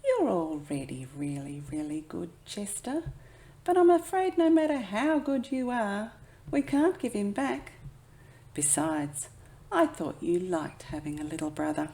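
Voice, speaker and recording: A woman plays a motherly raccoon from a storybook, unhurried and expressive. She is tender and patient, soothing her young with gentle reassurance in a lowish, creaky voice.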